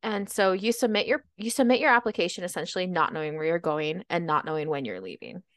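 A young woman co-hosts a casual podcast, telling stories in a chatty, upbeat way. Her voice sits a little low. The sound is dry and close, with no room around it. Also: animated, fast, no echo. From Baltimore, Maryland. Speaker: podcast co-host. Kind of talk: casual chat.

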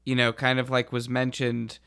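Casting: male podcast panelist, mid-range pitch, fairly flat intonation, conversational delivery. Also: moderate pace, clean tone, no echo, studio quality. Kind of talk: casual podcast discussion.

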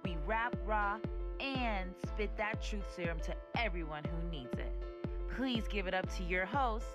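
A woman announcing a podcast intro in a slow, drawn-out delivery. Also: mid-range voice. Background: loud music.

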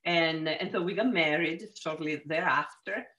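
A woman's voice: low voice, measured pace, poor audio. Italian-accented woman telling her story. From Sicily, Italy. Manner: warm storytelling with frequent restarts. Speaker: real estate agent, guest. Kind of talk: casual interview chat.

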